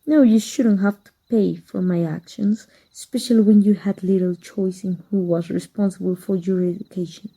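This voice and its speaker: A secretive, cunning teacher of dark magic, played by a woman in an ASMR roleplay, soft and measured and slipping into whispers. Her voice is dark and husky, with a gravelly fry at the ends of phrases. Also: medium pitch, steady tone, poor audio. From Mexico. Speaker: voice actor.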